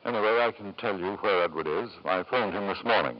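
A man's voice: deep voice, brisk, lo-fi sound. An actor playing a ruthless, possessive husband and doting father in a 1950s radio drama, formal and controlling, on an old broadcast recording. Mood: cool, needling.

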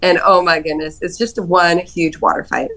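A woman author being interviewed, telling stories with enthusiasm and lively jumps in pitch. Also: moderate pace, crowd noise, low-quality recording. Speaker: author guest. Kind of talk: casual interview chat.

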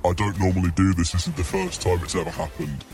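A man's voice: deep voice, steady tone, fast, vocal fry, a little mellow, faint music behind. A man voicing a humiliated, sensitive stranded cargo ship, speaking hesitantly and earnestly in a mock radio interview. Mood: apologetic.

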